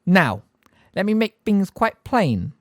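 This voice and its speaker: Picture a prim, sugar-coated witch schoolteacher, put on by a man reading aloud, her voice pitched well up and swooping in a lively way. She speaks slowly and deliberately, with a cold edge under the sweetness. The storybook sound is close and dry. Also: no echo, heavily acted. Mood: sugary stern. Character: sugary, cold, pompous middle-aged witch teacher.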